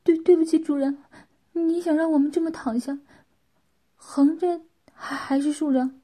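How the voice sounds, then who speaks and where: A woman's voice: high voice, normal speed, mellow, voice pushed up. A woman voicing a timid, submissive servant who pleads and stammers in an audiobook story. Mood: nervous. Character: grovelling, timid servant begging the master.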